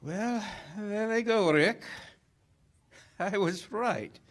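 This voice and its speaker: A man's voice: sits high, drawn-out words. A man playing a wry, urbane, opportunistic police captain in 1940s radio drama style.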